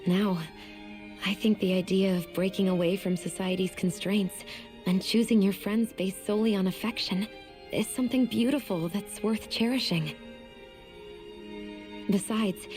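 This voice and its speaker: Woman acting thoughtful young game heroine, mellow tone, earnest, composed, articulate, faint music. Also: medium pitch, fairly even intonation, normal speed, natural voice. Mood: admiring, reflective. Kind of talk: dramatic video game voice acting.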